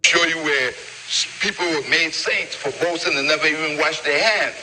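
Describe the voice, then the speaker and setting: A man's voice: a little high, moderate pace, background noise. A man speaking as a talk show guest, in an offhand, mocking way.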